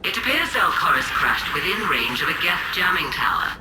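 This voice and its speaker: A woman's voice: fairly low pitch, very animated, a little fast, echoey room, noisy background. A woman voicing a cold, imperious female alien admiral in a video game, formal and clipped, through a radio filter. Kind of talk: dramatic video game acting.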